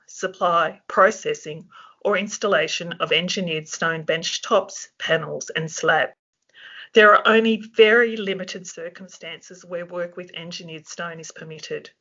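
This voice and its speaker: A presenter, croaky from a flu, reading a scripted webinar presentation steadily. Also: mid-range voice, bright, forceful tone, highs cut off. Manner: reads steadily from a prepared script. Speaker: webinar presenter for a safety regulator.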